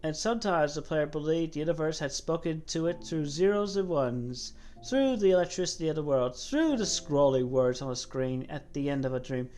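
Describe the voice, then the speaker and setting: A woman voicing a solemn godlike storyteller, giving a measured, dramatic reading of game dialogue. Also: sits low, lively intonation, warm tone, faint music behind. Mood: solemn, reverent. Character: low-voiced godlike cosmic being, solemn storyteller.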